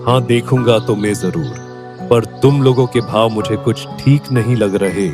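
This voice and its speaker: A man playing a worried older farmer and father in a Hindi cartoon story, speaking earnestly and in measured phrases. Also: mid-range voice, music in the background, natural voice. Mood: doubtful. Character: worried old farmer, father of three lazy sons.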